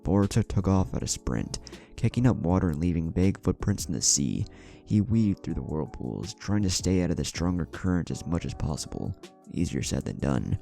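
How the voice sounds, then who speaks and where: Very deep, gravelly male storyteller. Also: mellow. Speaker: story narrator. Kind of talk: dramatic scripted fan fiction narration. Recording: background music under the voice. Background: music.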